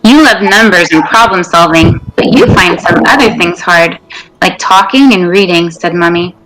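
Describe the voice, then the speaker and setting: A woman's voice: on the low side, animated, moderate pace, rough recording. A woman reading a children's picture book aloud. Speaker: reader. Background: soft music.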